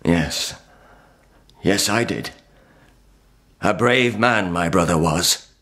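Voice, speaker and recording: An actor playing a haunted, war-weary crusader uncle in a historical radio drama, grave, halting and dramatic. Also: male voice, low-pitched, a bit bright. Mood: pressing, sorrowful.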